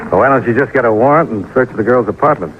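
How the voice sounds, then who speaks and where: A man's voice: medium pitch, quick pace, a little hiss or hum, natural voice. An American man playing a wry, unflappable insurance investigator in an old-time radio detective drama, dry and casual. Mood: dry, probing. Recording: dull old radio recording, music behind.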